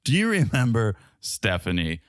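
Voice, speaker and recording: A man's voice: lowish voice, huge pitch swings, moderate pace, no room sound. A man with a Southern American accent co-hosting a reality TV podcast in casual chat, with dry asides. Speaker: podcast co-host.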